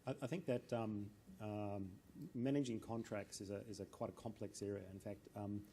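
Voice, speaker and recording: A man on a business panel, answering in a halting way with many ums and restarts. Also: on the low side, steady tone, mellow, slight background noise. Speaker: panelist, business energy adviser. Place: conference room.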